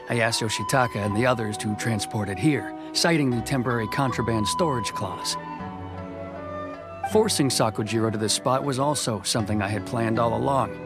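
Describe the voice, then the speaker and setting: An actor playing a dutiful, conflicted officer in a video game, speaking in a measured, formal way. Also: male voice, mid-range voice, lightly acted. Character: stern, dutiful officer man, conflicted but soft-hearted. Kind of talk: dramatic game dialogue acting. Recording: music behind the voice.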